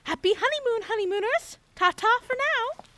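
A woman's voice: extremely high pitch, animated, moderate pace, sharp tone, a little hiss or hum, heavily acted. An actress playing an eccentric, nosy woman who keeps a creepy motel, with a theatrical, flowery, singsong delivery in an audio drama. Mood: suggestive, chirpy.

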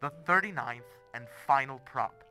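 A man's voice: mid-range voice, lively intonation, normal speed, bright, forceful tone, soft background music. An actor playing a menacing, impatient male client in an audio drama, curt and threatening as he insists on his demands.

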